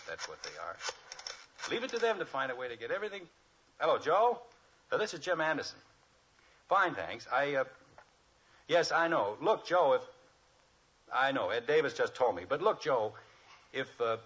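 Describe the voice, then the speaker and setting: A man's voice: medium pitch, fairly bright tone. A middle-aged American man playing an exasperated, wryly grumbling father in a 1950s radio sitcom. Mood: flustered. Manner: grumbling, wry sarcasm. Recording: muffled old radio recording, noisy.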